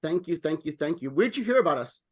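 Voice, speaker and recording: Male meeting host on a video call, high pitch, friendly, stumbles into repeats. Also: big pitch swings, quick pace. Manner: friendly, repeats words when thinking. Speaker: club president, meeting host. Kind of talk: casual online meeting chat.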